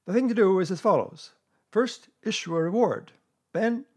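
Man putting on a loud, high, pompous owl. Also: expressive, normal speed. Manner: pompous, emphatic, declaiming. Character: pompous, self-important, loud talking owl. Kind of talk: children's storybook character voice.

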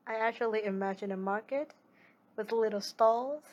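Woman, young adult, non-native English speaker, casual chat, giggly, rambling, slightly high, breathy. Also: normal speed, darkish tone, highs cut off. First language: not English. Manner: giggly, rambling, self-correcting. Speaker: streamer. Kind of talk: casual chat reading viewer comments aloud.

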